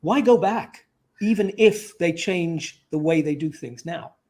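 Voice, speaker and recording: Male interviewer, slightly high pitch, conversational and probing, restates points back. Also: a little slow, warm tone.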